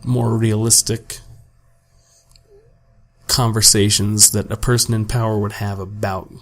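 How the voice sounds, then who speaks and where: Slightly raspy young man rambling. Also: on the low side, flat delivery, measured pace, a bit bright. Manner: casual, profane, self-deprecating. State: slightly raspy from talking all day. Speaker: podcast host. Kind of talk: solo rambling podcast monologue. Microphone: home desk microphone without pop filter.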